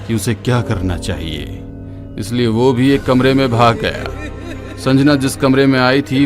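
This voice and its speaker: A man narrating a horror story in a steady, dramatic way, dropping lower at the grim moments. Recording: narration over loud background music.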